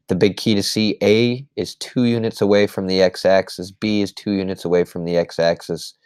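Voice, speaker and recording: A man teaching a math lesson recap, explaining step by step in a patient way, his voice slightly hoarse. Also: low-pitched, a little mellow, no room sound, studio quality. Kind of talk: screen-recorded lesson recap.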